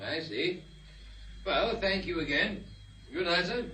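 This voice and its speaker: An actor playing a courteous, probing police inspector in a radio drama, formal and quietly insinuating. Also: male voice, high voice, expressive, heavily acted. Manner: formal, polite, deliberately insinuating. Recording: noisy old radio drama recording.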